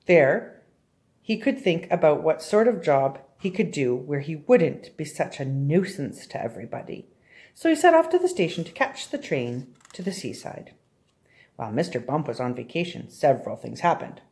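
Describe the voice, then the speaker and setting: Animated woman reading a children's story. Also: low voice, moderate pace. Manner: animated, addresses young listeners directly with questions. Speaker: storybook reader. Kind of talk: expressive children's story read-aloud.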